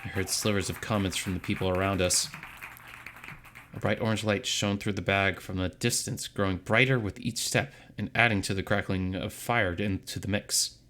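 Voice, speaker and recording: A man's voice: sits low, steady tone, moderate pace, sharp tone, a faint crowd. A man reading dramatic first-person story narration from a visual novel script.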